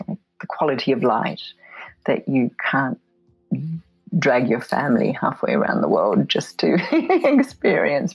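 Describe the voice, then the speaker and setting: A middle-aged woman from Poland, an interview guest talking reflectively in a soft, thoughtful way that often drifts into whispery asides. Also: rumbling low, vocal fry, breathy edge, no room sound, poor audio. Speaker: interview guest, photomedia artist.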